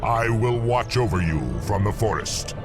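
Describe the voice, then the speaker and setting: Man voicing a grand, deep forest demigod. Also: loud music behind the voice, close to an everyday voice. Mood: wrathful, commanding. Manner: grandiose, commanding, slow. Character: ancient mighty forest demigod, haughty and wrathful. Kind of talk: fantasy video game acting.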